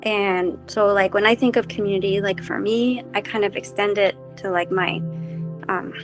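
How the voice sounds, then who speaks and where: Woman from Costa Rica, mid-range voice, rambling gentle storyteller on a wellness panel, soft music under. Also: mid-range voice, fairly even intonation, normal speed, rough recording. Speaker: helping professional on a panel. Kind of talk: casual reflective conversation.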